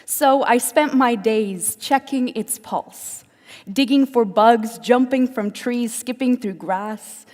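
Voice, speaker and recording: A woman from South Africa performing spoken-word poetry with deliberate, dramatic phrasing and pauses. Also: fairly high pitch, a little mellow. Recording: echoey hall with long reverb.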